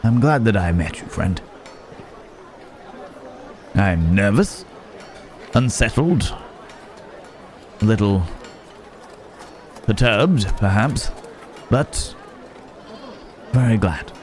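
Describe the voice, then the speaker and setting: A deep-voiced, courteous werewolf from an old-time village, acted by a man in an ASMR roleplay. He speaks slowly in formal, old-fashioned phrases, musing and hesitating, with a busy crowd behind him. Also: animated, a little hiss or hum. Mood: nervous, warm. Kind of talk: ASMR roleplay acting, one-sided dialogue. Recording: busy outdoor market ambience behind voice. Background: market crowd ambience.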